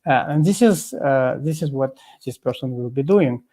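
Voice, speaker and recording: A man's voice: medium pitch, lively intonation, warm tone. Guy guest on a video call, mid voice, keeps stopping and restarting, lots of uhs. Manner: halting, many fillers and restarts. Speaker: guest expert. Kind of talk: explaining in a live interview.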